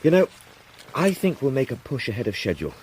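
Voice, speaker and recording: An actor playing a curt, possessive British husband in a radio drama, speaking in a brusque, firm way. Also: male voice, medium pitch, sing-song, fast, a little mellow, a little hiss or hum, close to an everyday voice. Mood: irritated, practical. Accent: British English. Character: curt, possessive, practical new husband fond of boats. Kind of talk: radio drama acting and narration.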